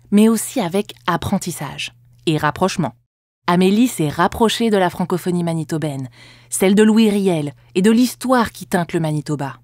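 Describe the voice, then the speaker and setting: A woman narrating a scripted podcast segment in a smooth, even read. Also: mid-range voice, no room sound, clean studio sound.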